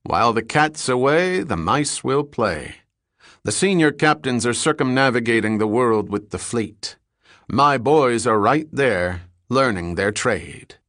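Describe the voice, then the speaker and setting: A man's voice: medium pitch, lively intonation, a little slow, fairly bright tone, dry recording, clean studio sound. A man playing a blunt, commanding navy sea captain in an audiobook, emphatic and instructing as he explains. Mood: assured, explanatory. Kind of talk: dramatic audiobook character reading.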